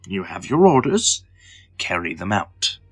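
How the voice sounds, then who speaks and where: A man as a husky, scheming lord. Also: low-pitched, sing-song, no echo, full character voice. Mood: stern. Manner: measured, imperious, formal. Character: commanding unicorn lord, coolly scheming spymaster. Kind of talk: audio drama character acting.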